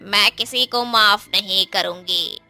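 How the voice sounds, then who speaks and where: Woman doing an angry ghost lady out for revenge, horror story, really slow and super sharp, menacing. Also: mid-range voice, gravelly, faint music behind, exaggerated voice. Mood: menacing, unforgiving. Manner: menacing, drawn-out theatrical delivery with evil laughter. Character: vengeful cackling ghost of a wronged young woman. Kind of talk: dramatised Hindi horror story.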